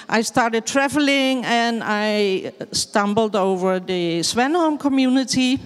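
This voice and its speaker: A woman from the Netherlands with a Dutch accent, giving a slow, hesitant presentation in English with many fillers. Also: a little high, smooth. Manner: hesitant, searching for words in English. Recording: long hall reverb.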